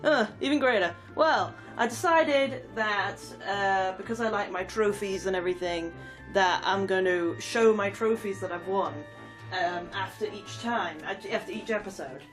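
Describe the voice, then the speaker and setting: A woman's voice: mid-range voice, normal speed, far from the mic, soft background music. A woman with a cold and a stuffy nose, chatting casually and giggling as she reads game trophy descriptions aloud. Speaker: gaming YouTuber.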